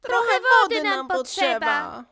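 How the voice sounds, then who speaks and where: A woman's voice: very high voice, sing-song, slow, vocal fry, bright, forceful tone, voice pushed up, heavily acted. A woman voicing sad, thirsty meadow flowers with a trembling, pleading voice in a rhymed children's fairy tale.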